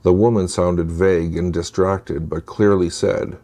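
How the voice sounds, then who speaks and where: A man narrating spooky true stories in a scripted, measured and deliberate storytelling style. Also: deep voice, fairly even intonation, dark tone.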